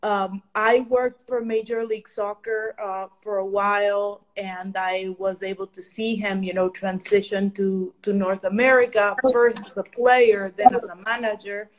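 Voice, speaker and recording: A woman's voice: mid-range voice, flat delivery, measured pace, few pauses, rough recording. A woman from Ecuador, a football consultant, answering interview questions thoughtfully with frequent ums and restarts.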